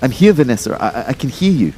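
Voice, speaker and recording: A man's voice: mid-range voice, fast, soft background music. A young man playing an anxious narrator of his own out-of-body ordeal in an audio drama. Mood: desperate pleading. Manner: expressive, shifting from hushed narration to urgent pleading. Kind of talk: first-person audio drama narration with acted dialogue.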